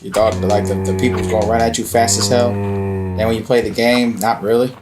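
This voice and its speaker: A man's voice: lowish voice, fairly even intonation, brisk, over loud music. Teasing young man chiming in. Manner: teasing, chatty, cuts in with side comments. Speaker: co-host. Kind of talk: casual gameplay chat.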